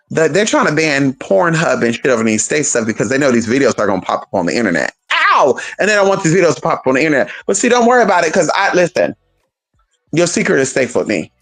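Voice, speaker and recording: A woman chatting casually on a livestream, sassy and profane, calling her viewers honey and girl. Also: deep voice. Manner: animated, talks straight to viewers.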